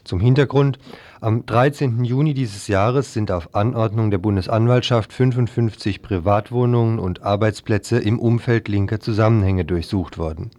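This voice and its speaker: A man reads scripted news on the radio in a lowish voice. His tone is soft-edged and dark, and the recording is close and dry. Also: moderate pace, dry recording. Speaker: radio presenter.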